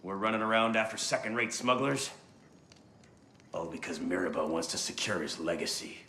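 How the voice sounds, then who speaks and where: A man playing a cynical, gruff veteran assassin in a video game, blunt and sardonic. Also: sits low, fairly even intonation, moderate pace, sharp tone. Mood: scornful. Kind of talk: dramatic video game dialogue. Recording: roomy echo, faint noise.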